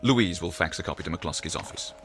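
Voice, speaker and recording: An actor playing a calm, pedantic psychiatrist in an audio drama, speaking in a measured, slightly pompous, professorial way. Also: male voice, low-pitched, background noise. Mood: professional, reassuring. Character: calm, pedantic male psychiatrist, lecturing and reassuring.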